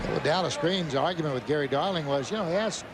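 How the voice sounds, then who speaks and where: A man's voice: a little high, fairly quick, a loud crowd. An American man giving chatty, slightly wry commentary as co-commentator on a baseball broadcast. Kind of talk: conversational sports commentary. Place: open-air ballpark broadcast booth. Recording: noisy outdoor ballpark broadcast.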